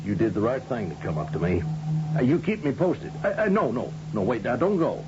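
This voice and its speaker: An actor playing a shrewd, folksy, commanding governor of a space colony in a 1980 radio drama, with hesitant asides and brisk orders. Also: male voice, mid-range voice, very animated, over loud music, natural voice. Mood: thoughtful, sly. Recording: dull lo-fi old radio broadcast recording.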